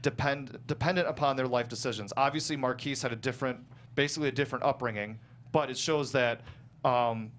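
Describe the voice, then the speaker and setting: A man talking casually as a guest screenwriter, explaining his work in a halting, self-correcting way. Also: mid-range voice, a bit bright. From small town in the United States. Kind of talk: casual panel discussion of own script. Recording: clear background noise and hum.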